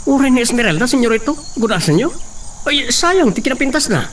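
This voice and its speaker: A man's voice: very high-pitched, big pitch swings, a little fast, fairly bright tone, a little hiss or hum, heavily acted. Man playing a sly, scheming servant. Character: scheming male servant resentful of his master.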